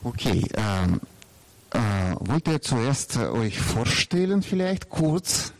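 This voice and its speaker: A man's voice: medium pitch, very animated, slow pace. A man interviewing guests, putting casual questions in a hesitant way.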